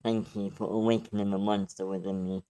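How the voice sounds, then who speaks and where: A man playing a wounded, vengeful villain in a screenplay table read, with slow, menacing, dramatic phrasing. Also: fairly low pitch, level tone, mellow, smooth, lightly acted. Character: vengeful, wounded male villain running for mayor.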